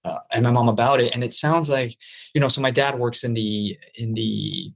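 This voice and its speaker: A man's voice: medium pitch, steady tone, normal speed, creaky. A young man from Peru, raised in Florida, a podcast guest in casual chat who stammers a little at the start of his thoughts.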